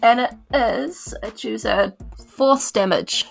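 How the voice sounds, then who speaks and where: A woman's voice: a little high, slow, vocal fry, soft background music. A woman with a New Zealand accent chatting casually as a player in a tabletop game.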